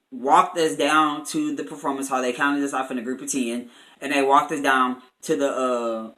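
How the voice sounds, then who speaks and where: A man's voice: medium pitch, a bit bright, rough recording. A man telling a personal story to viewers in a casual, chatty, meandering way, leaning heavily on filler words and repetition. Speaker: vlogger and online shop owner.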